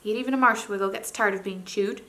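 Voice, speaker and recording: A woman's voice: medium pitch, steady tone, quick pace, pushed and bright. A woman doing a blunt, grumbling marsh creature. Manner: blunt, plain-spoken, grumbling. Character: gloomy, blunt male Marshwiggle, long-armed and gruff.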